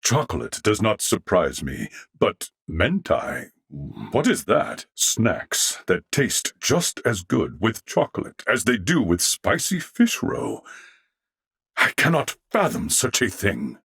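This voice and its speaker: A man voicing a courteous, earnest mechanical alien life form in a video game, speaking formally and with measured, polite precision in a husky voice. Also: fairly low pitch, wildly swooping pitch, a bit bright, no room sound, studio quality, heavily acted. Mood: curious, earnest. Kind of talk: video game character dialogue.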